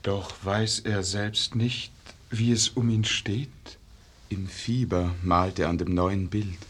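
A resigned disciple at a deathwatch in an old radio drama. His voice is lowish and husky, with slow, troubled phrasing. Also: steady tone, a bit bright, exaggerated voice. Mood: resigned, worried. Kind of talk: 1950s German radio verse drama.

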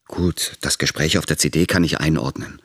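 A man's voice: a bass voice, steady tone, moderate pace, fairly bright tone, breathy edge, exaggerated voice. An actor playing an aggrieved, calculating middle-aged politician and father in a radio drama, formal and rhetorical. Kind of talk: radio drama inner monologue.

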